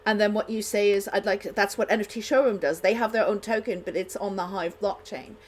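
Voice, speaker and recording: A woman's voice: medium pitch, steady tone, a little fast. A woman hosting a radio show in casual, unscripted chat, talking in a chatty, rambling way.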